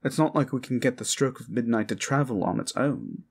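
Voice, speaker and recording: A man voicing a measured, knowing sage with a formal, dry manner in an improvised fantasy roleplay. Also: mid-range voice, expressive, vocal fry, breathy edge.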